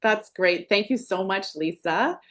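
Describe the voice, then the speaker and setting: A mid-pitched woman moderates a webinar. She thanks each speaker and politely introduces the next. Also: measured pace, fairly bright tone. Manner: brief, polite transitions. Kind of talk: introducing panelists.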